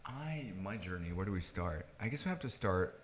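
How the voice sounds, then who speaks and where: Man, thirties, from the Netherlands, native Dutch speaker, very low pitch, conversational interview answers. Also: expressive, moderate pace, slight background noise. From Netherlands, moved to the US at nine. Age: 30s. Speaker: podcast guest, tech founder.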